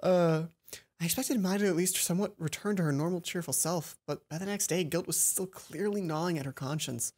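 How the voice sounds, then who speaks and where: A woman doing casual game commentary and reading a visual novel aloud, chatty and self-deprecating, reacting out loud to the story. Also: on the low side, a little fast, slightly rough. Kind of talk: casual game commentary and narration with character voices. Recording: music behind the voice. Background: game music.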